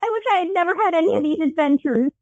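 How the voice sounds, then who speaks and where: Lady doing a kid hero boy, really high voice, emotional, dry slightly dull recording. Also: very fast, a little mellow, no room sound. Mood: hurt, upset. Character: young teen boy hero, earnest and aggrieved. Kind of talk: children's fantasy audio drama acting.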